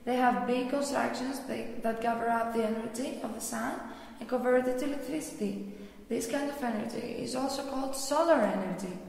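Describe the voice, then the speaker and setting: A woman plays an inquisitive, studious girl in an educational cartoon. Her voice is high and she explains things in a deliberate, read-out way. The recording rings with heavy echo and a long reverb tail. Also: gravelly, darkish tone. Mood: explaining. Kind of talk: scripted dialogue in an educational animation. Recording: very echoey room, long reverb.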